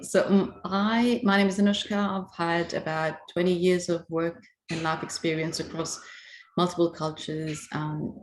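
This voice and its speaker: A woman in her forties, a leadership coach, chatting in a lively way from home over a video call. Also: low voice, poor audio.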